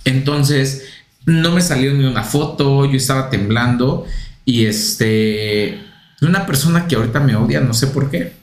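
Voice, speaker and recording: A young man from Puebla with a Mexican accent, telling a lively story and acting out old conversations. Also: medium pitch, unhurried, reverberant. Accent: Mexican Spanish. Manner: lively storyteller, acts out past dialogue. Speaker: podcast co-host, forensic photographer. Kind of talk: casual chat.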